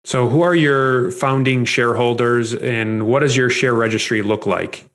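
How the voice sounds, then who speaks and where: A man's voice: medium pitch, fairly even intonation, unhurried, fairly bright tone. A man hosting an interview, asking short, direct questions. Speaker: interview host.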